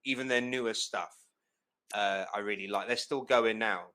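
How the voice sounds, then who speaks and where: Bright-voiced rambling young man hosting. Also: on the low side, steady tone, normal speed. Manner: rambling and enthusiastic, many fillers and restarts. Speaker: podcast host. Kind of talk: casual chat.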